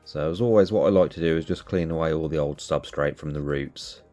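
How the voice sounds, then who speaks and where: A man hosting a cactus channel, sounding nasal from allergies, calmly explaining as he narrates. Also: a bass voice, fairly quick, soft background music. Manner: relaxed, explanatory. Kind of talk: casual voice-over narration.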